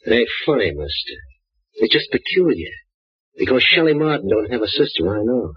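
A raspy, menacing gunman thug. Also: medium pitch, big pitch swings, normal speed, no echo. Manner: tough, threatening street talk. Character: menacing small-time male gunman thug. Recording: muffled old radio broadcast.

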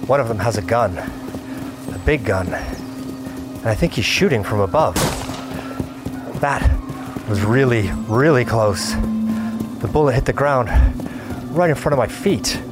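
A man playing a seasoned, weary gamer who narrates a survival adventure as a scripted first-person audio drama, in a measured, intense way. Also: mid-range voice, expressive, loud music behind the voice, lightly acted. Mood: urgent, tense. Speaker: narrator and lead character.

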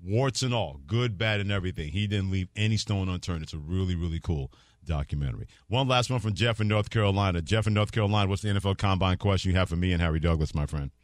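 Sports radio host, man, lowish voice, easygoing chat, dry studio sound. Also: fast talker, no echo. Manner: easygoing, affirming.

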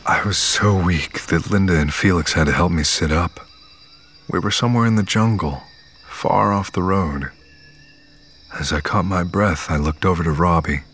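A man acting as the narrator of an audio drama, playing a weary, haunted survivor who tells his story gravely and in measured phrases. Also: low voice, a bit bright, exaggerated voice. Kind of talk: scripted first-person audio fiction narration.

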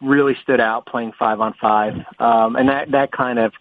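A man working as a basketball analyst on a conference call, speaking haltingly and repeating words as he reasons aloud. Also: medium pitch, fairly even intonation. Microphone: telephone. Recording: tinny, dry phone conference line.

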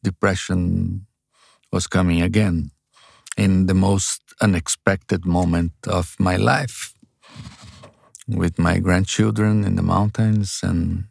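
An older man with a Brazilian accent, a guest author speaking haltingly and searching for words in an interview. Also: low-pitched, fairly even intonation, vocal fry, a little mellow, no room sound, clean studio sound. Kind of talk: interview conversation.

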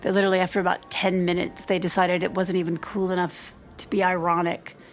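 A woman's voice: on the low side, flat delivery, fast, natural voice. A woman acting in an audio drama as a reserved married mother in her thirties, confiding in a hesitant, self-deprecating way. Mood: wry, embarrassed. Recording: music playing behind the voice.

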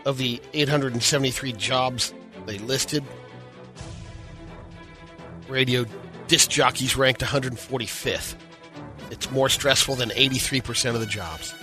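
Mid-pitched man reading items on radio. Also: measured pace, pauses often, sharp tone, faint music behind. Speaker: radio show co-host reading news items. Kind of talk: reading items amid casual radio banter.

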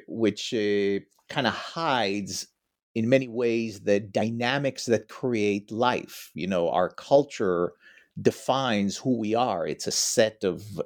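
A middle-aged man from Israel, a history professor giving long explanatory answers in an interview. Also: on the low side.

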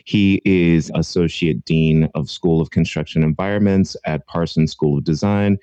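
A man with a very low, warm voice hosts an interview podcast, eager and a little stumbling as he speaks. The audio is dry and close, with a slightly dull, muffled tone. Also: dry recording. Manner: enthusiastic, with small stumbles. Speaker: podcast host, assistant professor.

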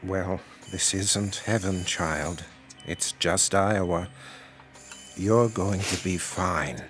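Deep slow small town preacher, solemn and fatherly, audio drama w faint music under it. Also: a bit bright, exaggerated voice. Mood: calm, paternal. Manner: measured, preachy, paternal. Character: solemn, slow-talking pious small-town male pastor.

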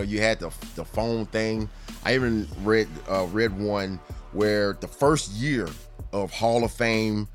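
A man's voice: medium pitch, a bit bright. A man hosting a podcast, telling sports stories in a casual, rambling, conversational way with amused asides. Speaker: podcast host.

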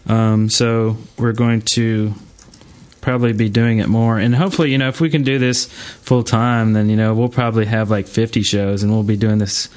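A man hosting a podcast in casual chat, talking in a halting, rambling way. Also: lowish voice, flat delivery, darkish tone. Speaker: podcast host. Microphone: online voice call.

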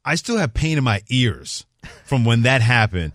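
A man's voice: mid-range voice, big pitch swings, normal speed, a bit bright, no echo, studio quality. An American man co-hosting casual sports talk, speaking in an avuncular, emphatic way. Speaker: sports radio co-host.

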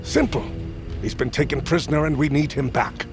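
A male actor playing a solemn, persuasive leader of smuggler outcasts in a fantasy audio drama, with measured, formal, archaic phrasing. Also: on the high side, music in the background, lightly acted. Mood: earnest, deliberate. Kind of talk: audio fiction acting.

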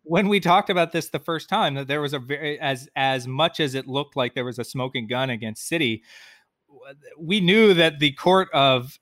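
A man's voice: mid-range voice, a bit bright, dry recording, clean studio sound. A man talking sports and data conversationally as a podcast panelist.

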